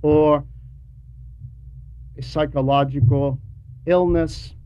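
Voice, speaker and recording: A man giving a homily to a class in a deliberate, didactic way, pausing between short phrases and repeating words for emphasis, on a dull, lo-fi recording. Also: on the high side, fairly even intonation, mellow, clear, resonant tone. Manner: didactic, poses rhetorical questions. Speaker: religious teacher reading a translated homily to a class. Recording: dull lo-fi recording with faint noise.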